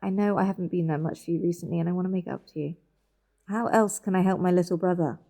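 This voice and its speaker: A woman's voice: on the low side, fairly even intonation, fast, mellow. A woman playing a protective, bossy older sister in a naturalistic audio drama, firm and insistent. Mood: coaxing.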